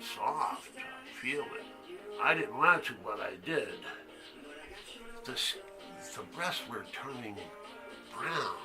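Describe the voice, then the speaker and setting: A man's voice: mid-range voice, rough recording. An actor playing a crude, haunted man who halts and confides as he tells a story in a stage monologue. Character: crude, haunted man recounting a boyhood secret. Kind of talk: one-act monologue, storytelling.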